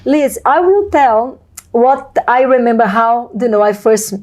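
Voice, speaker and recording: Female host, Brazilian accent, native Portuguese speaker, mellow tone, effusive conversational interviewing. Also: a little high, animated, unhurried. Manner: effusive, wordy, repeats phrases. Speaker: host of a video channel.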